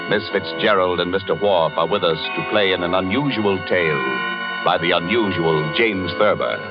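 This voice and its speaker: Deep, stately radio announcer man. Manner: measured, stately. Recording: muffled old radio broadcast with music.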